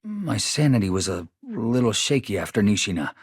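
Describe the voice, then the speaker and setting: Nervous earnest sci-fi game engineer, survivor type, kinda hesitant, raspy edge, pitch moves around a lot. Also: male voice, sing-song, moderate pace, no room sound, studio quality. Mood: polite, grateful. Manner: polite, earnest, hesitant, vulnerable. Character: earnest anxious male space engineer, shaken lone survivor. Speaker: video game character. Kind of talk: video game voice acting.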